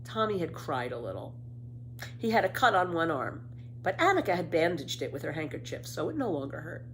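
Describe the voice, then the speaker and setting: A woman reading a children's story aloud with dramatic, emphatic, expressive narration. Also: sits low, a little fast. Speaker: storybook reader. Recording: faint background noise.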